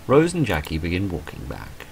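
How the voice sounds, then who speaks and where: A man reading the storybook narration of a gentle visual novel. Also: low-pitched, wildly swooping pitch, moderate pace, vocal fry, slight background noise, heavily acted. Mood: gentle storytelling.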